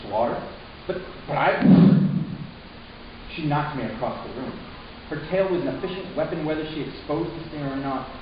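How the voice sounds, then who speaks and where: A man's voice: on the high side, normal speed, lightly acted. Anxious young male narrator acted, heavily echoing room. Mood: anxious, stammering. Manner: hesitant, stammering, earnest. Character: anxious young man narrating his story, earnest, uneasy. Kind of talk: dramatic first-person reading. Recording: echoey room, distant mic, loud hiss, muffled.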